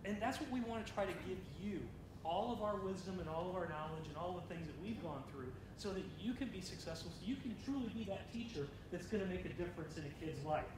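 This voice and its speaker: A man's voice: expressive, quick pace. A man in his forties from Ohio, giving a chatty talk to student teachers and joking with the audience. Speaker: school district administrator. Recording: echoey room from far off, low rumble.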